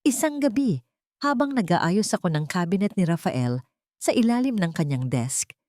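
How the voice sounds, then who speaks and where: A woman narrates an emotional audio drama in the first person. Her voice is a little low for a woman, and the scripted delivery is dramatic. The recording is very dry, with no room sound. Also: sing-song, moderate pace, darkish tone, no room sound. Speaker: story narrator.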